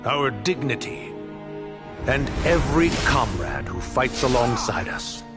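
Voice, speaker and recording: A man's voice: medium pitch, sing-song, a little slow, over loud music. A man playing a proud noble who speaks with formal, ceremonial oratory in a dramatic video game.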